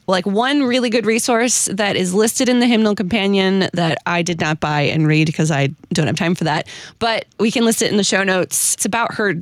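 A woman's voice: lowish voice, no room sound. Woman podcaster telling history, close dry sound. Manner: chatty, enthusiastic, self-deprecating asides. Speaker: podcast host. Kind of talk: casual, researched storytelling to co-hosts.

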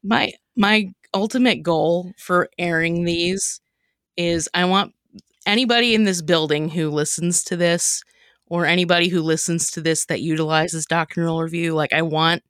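A woman's voice: lowish voice, fairly even intonation, moderate pace, a bit bright, no echo, clean studio sound. A woman co-hosting a podcast, telling stories casually and informally, acting out bits of remembered conversation. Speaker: podcast co-host and sketch writer. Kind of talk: casual chat, storytelling.

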